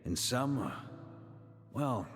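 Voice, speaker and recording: A man's voice: mid-range voice, sing-song, drawn-out words. An actor playing a fatherly, jovial grand master of knights in a video game. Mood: earnest, reflective. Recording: long hall reverb, faint music behind.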